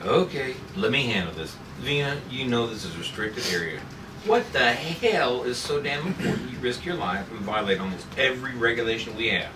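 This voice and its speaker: A man's voice: medium pitch, animated, brisk, close to an everyday voice. A man reading the part of a stern, exasperated sci-fi commander at a table read. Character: gruff, exasperated male commander in a sci-fi script. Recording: noisy echoey room, distant mic.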